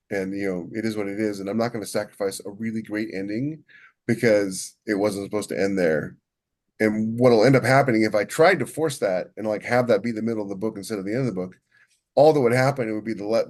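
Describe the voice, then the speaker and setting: A man's voice: lowish voice, a little mellow. A man co-hosting a writing podcast as a novelist, chatting casually and emphatically, often rephrasing himself as he goes. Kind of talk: casual chat about writing craft.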